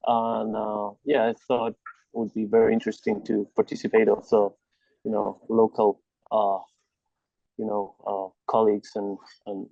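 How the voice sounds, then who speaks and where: A man from Chile introduces himself over a video call, his voice gravelly and dry. He talks haltingly, restarting phrases often. The audio is dull and lo-fi. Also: fairly low pitch, completely flat delivery, warm tone, no echo. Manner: hesitant, many restarts and fillers. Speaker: lighting design firm partner.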